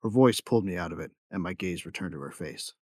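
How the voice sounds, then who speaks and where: Low-voiced brooding male bounty hunter. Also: fast, darkish tone, dry recording, studio quality. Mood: brooding, intimate. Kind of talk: first-person audio drama narration.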